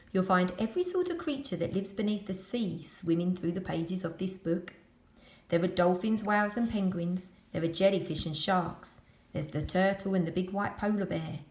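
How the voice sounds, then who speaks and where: A woman reading a children's picture book aloud as a school storyteller, expressive and addressing young children. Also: mid-range voice, reverberant, low-quality recording.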